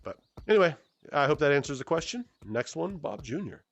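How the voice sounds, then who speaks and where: A man's voice: fairly high pitch, sing-song, fairly quick, vocal fry, dull audio. A man in his forties answering fan questions in a casual, easygoing way as he plays a VR game, rambling a bit and often restarting his sentences. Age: 40s. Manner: rambling and self-correcting, with many fillers and restarts; easygoing and good-humored. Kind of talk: casual Q&A chat while playing a VR game.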